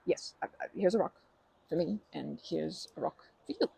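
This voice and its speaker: Timid, very creaky-voiced young woman, acted. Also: lowish voice, warm tone, full character voice. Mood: eager, encouraging. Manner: hesitant, stammering, apologetic, halting starts. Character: timid, kind young woman, gently comforting a park acquaintance. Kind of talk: ASMR roleplay, intimate comforting talk.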